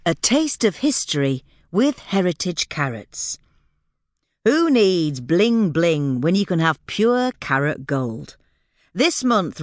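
A woman's voice: low-pitched, dry recording, studio quality. A woman reading a scripted magazine article as a podcast narrator.

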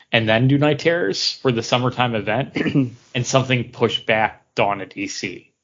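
A man talking casually as a podcast co-host, rephrasing haltingly as he goes. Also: on the low side, fairly bright tone. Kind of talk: casual chat about comic books. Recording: dull, lo-fi podcast audio.

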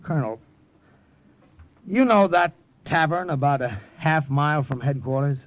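A man's voice: on the high side, animated, a little slow, dry recording, muffled recording. An actor playing a desperate young male army sketch artist turned spy in 1940s radio drama, talking in an urgent, flustered way. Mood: bewildered. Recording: noisy old radio broadcast.

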